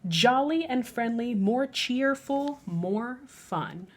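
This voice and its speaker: High-voiced woman reading a bedtime story. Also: animated, takes it slowly. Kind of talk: bedtime storybook read-aloud in rhyme.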